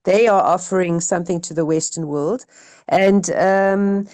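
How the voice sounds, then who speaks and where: A woman's voice: lowish voice, steady tone, measured pace, a little mellow, dry recording. Chatty woman on a dry call line. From South Africa. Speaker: participant. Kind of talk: casual discussion. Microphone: video call.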